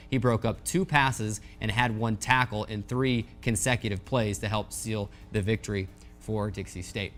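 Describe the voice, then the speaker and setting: Male sports show host, scripted recap read, mid-pitched, light music bed underneath. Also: fairly bright tone.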